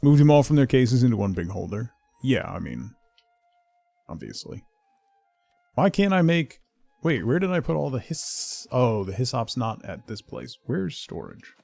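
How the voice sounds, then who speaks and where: A man's voice: medium pitch, sing-song, a little slow, gravelly, dark tone, no room sound, soft background music. A middle-aged man streaming a game, chatting casually with viewers and muttering to himself in between. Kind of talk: casual chat while playing a game, replying to viewers.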